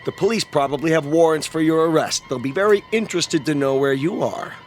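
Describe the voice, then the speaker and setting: A man's voice: a little high, fairly quick, lightly acted. An actor playing a stubborn, protective, middle-aged suburban father in a radio drama. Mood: angry, alarmed. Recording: music underneath the voice.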